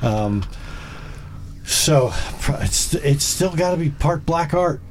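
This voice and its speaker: A man hosting a radio interview, asking questions with quick jokes. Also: fairly high pitch, animated, a little hiss or hum. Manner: jokey, upbeat questions. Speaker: radio host. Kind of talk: casual interview chat.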